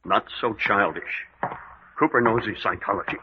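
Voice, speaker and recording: An actor playing a shrewd, level-headed adventurer who explains things coolly in an old-time radio serial. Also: male voice, on the low side, moderate pace, lightly acted. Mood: grave, explaining. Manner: cool, matter-of-fact explaining. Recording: muffled, noisy old radio recording.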